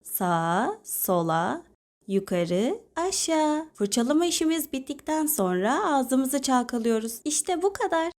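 A woman playing a gentle crocodile mother in a children's cartoon, calmly and patiently giving instructions. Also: high-pitched, a little slow, voice pushed down. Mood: patient, instructive. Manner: calm, instructive.

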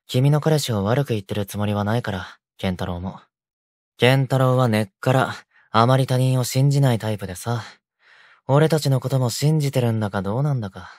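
A man voicing a considerate, gentle young delinquent who keeps the peace, in an audio drama. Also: medium pitch, a little fast, no echo, clean studio sound, lightly acted. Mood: gentle, concerned. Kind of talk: Japanese boys' audio drama.

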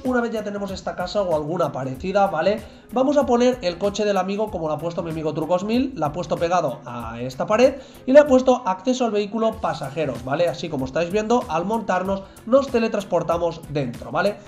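Young guy, high voice, chatty game walkthrough, keeps saying "vale", faint music behind. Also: darkish tone. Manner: chatty, repeats "¿vale?" and "chicos" constantly. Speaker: gaming youtuber. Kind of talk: game tutorial voice-over.

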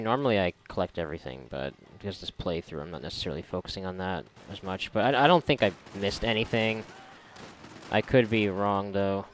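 A man giving casual, sarcastic, profanity-laced gameplay commentary, often muttering to himself. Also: lowish voice. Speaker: gamer doing a playthrough.

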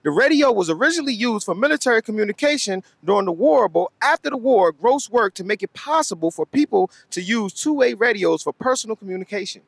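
Creaky high-voiced male narrator. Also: lively intonation, quick pace, hardly any pauses. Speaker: video narrator. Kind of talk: scripted explainer narration.

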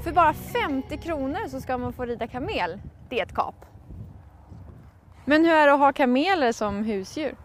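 A woman's voice: high-pitched, animated. A young woman reporting cheerfully from a summer campsite, chatting with people into a handheld mic. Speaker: TV travel reporter. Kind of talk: upbeat on-location reporting. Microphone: handheld fuzzy mic. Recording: loud background music. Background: loud music.

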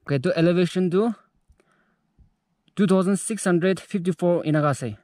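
A man's voice: high voice, moderate pace, darkish tone, dry recording. A young man from India narrating a hike outdoors in a mountain forest, talking to a handheld action camera as he walks and points things out. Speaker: hiking vlogger. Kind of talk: casual narration while hiking. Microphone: action camera held at arm's length.

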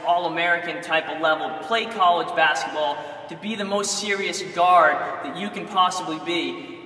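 A man's voice: sits high, sharp tone, roomy sound, in a large hall. Young coach guy selling his program, emphatic, lapel mic, sounds a bit far off in a big gym. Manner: urgent, emphatic, direct address. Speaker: basketball coach. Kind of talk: sales pitch to camera. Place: large indoor gym.

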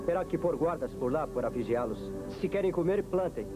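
A man's voice: fairly high pitch, animated, brisk, over music, natural voice, low-quality recording. An actor with a Brazilian accent playing a stern, practical, skeptical man in a dubbed telenovela. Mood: reproving. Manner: stern, challenging. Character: skeptical, practical man challenging a headstrong woman. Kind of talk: dubbed 1970s telenovela acting.

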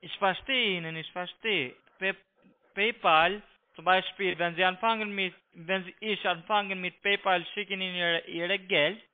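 A man with a foreign accent, not a native speaker, making a halting, repetitive phone pitch over a narrow tinny line. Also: fairly even intonation. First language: not German. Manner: halting broken German, repetitive. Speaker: scam call-centre agent. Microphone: telephone.

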